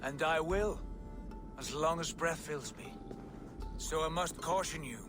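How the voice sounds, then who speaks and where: An actor playing a loyal, earnest war god in a video game cutscene, with score behind. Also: male voice, fairly high pitch, a little slow, over music, close to an everyday voice. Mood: resolute. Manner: earnest, resolute. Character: Tyr, loyal male war god, steady and blunt.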